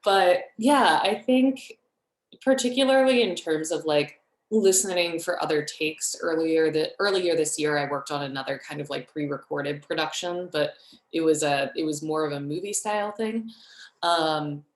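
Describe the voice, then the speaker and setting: A woman's voice: low voice, normal speed. A woman speaking casually on a panel as a director, informal and rambling, often breaking off her own sentences. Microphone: video call. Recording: roomy echo on a video call.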